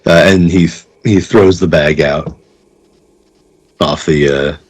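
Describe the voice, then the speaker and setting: A man running a tabletop game, improvising narration in a halting, conversational way. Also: extremely low pitch, steady tone. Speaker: game master. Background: faint music.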